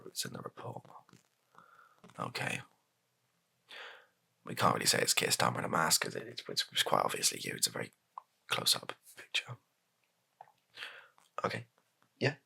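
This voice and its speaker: A man playing a flustered, placating political advisor in an improvised ASMR comedy roleplay, speaking softly in a hesitant, stammering, deadpan way. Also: a bass voice, heavily acted. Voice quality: soft-spoken, gravelly, breathy. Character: flustered, placating male political spin doctor advising his boss.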